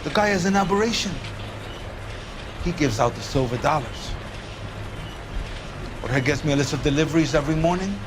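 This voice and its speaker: A man's voice: on the high side, animated, normal speed, pauses often, background noise, lightly acted. A man acting an evasive, defiant produce worker under questioning in a video game. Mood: grudging.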